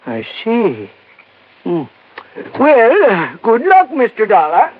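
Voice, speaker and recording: A man's voice: high voice, wildly swooping pitch, slow, exaggerated voice. A man playing a sly, murderous swindler in a 1950s radio drama, drawing his words out hesitantly. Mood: feigned innocence. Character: sly male swindler and killer running an old folks' home. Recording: muffled vintage radio recording.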